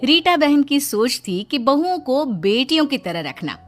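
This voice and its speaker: A woman's voice: high-pitched, big pitch swings, a little slow, faint music behind. A woman narrating a cartoon story in a wry, knowing way.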